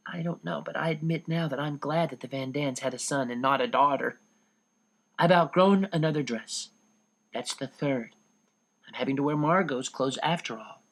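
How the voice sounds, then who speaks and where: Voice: mid-range voice, lightly acted, poor audio. A reader voicing a teenage girl reading her own diary in a wistful, confiding way.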